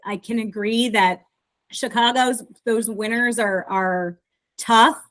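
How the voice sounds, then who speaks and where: A woman's voice: a little high, fairly even intonation, slow pace, fairly bright tone. Woman moderating an online author panel. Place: video call.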